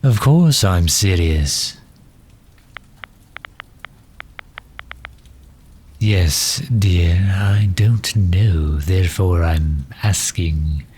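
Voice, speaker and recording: Man playing a slow, low-voiced genteel villain. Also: a little mellow, slight background noise. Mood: courteous, probing. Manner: formal, precise, unhurried, politely condescending. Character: genteel, erudite male villain, courteous and misunderstood. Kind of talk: ASMR audio roleplay.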